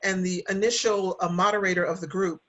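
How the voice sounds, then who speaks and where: A woman's voice: on the low side, steady tone, a little fast, fairly bright tone. A woman speaking conversationally as a guest writer on a podcast, introducing herself and her work.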